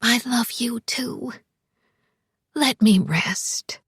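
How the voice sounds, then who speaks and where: A woman voicing a frail, sleepy elderly grandmother who speaks softly and a little testily. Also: on the high side, fairly even intonation, slow pace, husky, no room sound, studio quality, exaggerated voice. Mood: drowsy. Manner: soft, sleepy, a bit testy.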